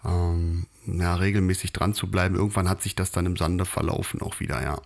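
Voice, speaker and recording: A man with a low-pitched voice co-hosts a casual podcast chat. He rambles, hesitates and fills gaps with "äh" and "ähm" as he talks. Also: fairly even intonation, a little fast, creaky. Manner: rambling, hesitant with many fillers, chuckles often. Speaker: podcast co-host.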